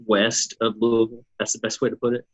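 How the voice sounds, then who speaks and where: A man's voice: mid-range voice, monotone, a little fast, dull audio. A man from Kentucky, a political candidate, giving plain, short interview answers with ums.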